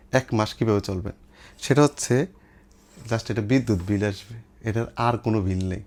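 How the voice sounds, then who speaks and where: A man's voice: mid-range voice, a little mellow. A man with a Bangladeshi accent giving an informal sales demonstration, explaining things step by step with repetition and English trade words. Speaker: seller presenting products for an electronics shop.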